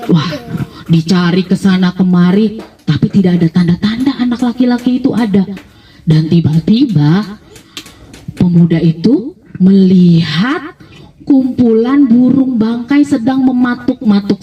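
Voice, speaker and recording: A woman's voice: medium pitch, a little slow, warm tone, recorded from a distance, lo-fi sound. A woman telling a dramatic story to children, with colloquial asides and rhetorical questions.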